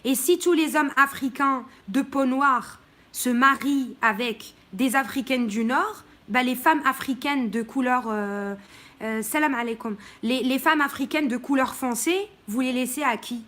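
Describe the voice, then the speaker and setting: A woman's voice: high-pitched, a little slow, fairly bright tone. A woman from Morocco speaking bluntly in a live-stream monologue, greeting viewers as she goes and often hesitating mid-sentence. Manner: outspoken and blunt, with many hesitations.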